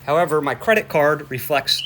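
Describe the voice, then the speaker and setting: A man phoning in a complaint, explaining it in a halting, repetitive and insistent way. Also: on the high side, animated. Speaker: caller reporting a suspicious order to police. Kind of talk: phone conversation, explaining a complaint. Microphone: phone. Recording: noisy phone call recording.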